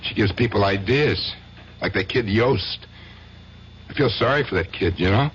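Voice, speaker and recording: Low-voiced jealous husband, unrepentant killer. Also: male voice, animated, normal speed, fairly bright tone. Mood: brooding. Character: obsessively jealous husband, unrepentant killer, plain-spoken working man. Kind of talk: 1970s radio drama acting. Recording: dull, noisy 1970s radio broadcast.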